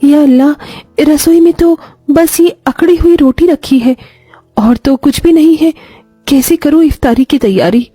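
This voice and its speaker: Woman acting a high, gentle, pampered young lady. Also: measured pace, no room sound, faint music behind. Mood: worried. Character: gentle young woman, pampered daughter turned poor daughter-in-law.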